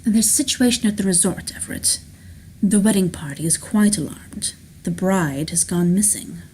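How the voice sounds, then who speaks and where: A woman's voice: mid-range voice, fast talker, a little hiss or hum, lightly acted, low-quality recording. Woman playing anxious, sophisticated female aide. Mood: strained, urgent. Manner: polite, urgent, trying to stay calm.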